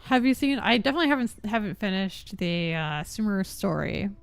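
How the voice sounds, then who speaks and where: A woman streams a game with casual commentary. Her voice is clean and smooth at an average pitch, recorded very dry, as she muses aloud informally. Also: expressive, brisk, no room sound, soft background music. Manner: musing aloud, giggly.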